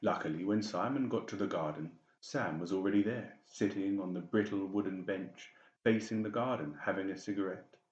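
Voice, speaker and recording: A man reads dramatic story narration for an audiobook. His voice is very low. The recording is roomy and a bit muffled. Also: moderate pace. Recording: roomy, slightly dull sound.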